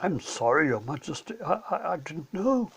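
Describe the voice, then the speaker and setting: A man's voice: fairly high pitch, very animated, moderate pace, vocal fry, fairly bright tone, breathy edge, full character voice. A man voicing a nervous, sulky young boy in a storybook reading. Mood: timid.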